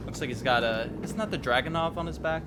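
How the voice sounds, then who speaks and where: A man talks casually as he reacts to a video game, rambling along in an informal, chatty way. His pitch is average for a man. There is some faint noise behind his voice. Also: quick pace. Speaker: gaming YouTuber host.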